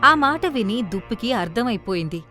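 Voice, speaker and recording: A woman's voice: a little high, very animated, normal speed, bright, forceful tone, soft background music. A woman reading scripted narration for a children's story.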